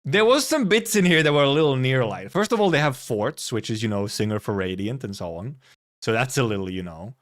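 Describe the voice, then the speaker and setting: Animated male streamer reacting, rambling. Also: mid-range voice, a little fast. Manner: rambling, repeats himself, playful, swears casually. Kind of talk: casual game trailer reaction commentary. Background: faint music.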